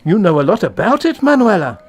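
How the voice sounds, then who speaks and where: A man's voice: sits high, very animated, a little fast, a little hiss or hum. A man playing a nosy, tactless, gossiping villager in a comic radio play. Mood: inquisitive.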